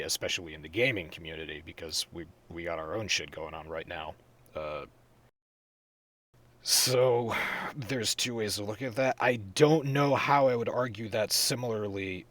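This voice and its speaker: Man, very low voice, blunt profane podcast chatter, rambling. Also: fairly bright tone. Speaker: podcast co-host. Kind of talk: casual gaming news chat.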